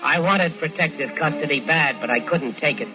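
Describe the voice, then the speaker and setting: An actor playing a smooth scheming killer. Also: male voice, on the high side, moderate pace, close to an everyday voice, rough recording. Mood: cold. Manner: calm, persuasive, coaxing. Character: smooth-talking scheming man, murderer narrating. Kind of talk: 1950s radio drama first-person narration. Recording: old radio broadcast with music bed.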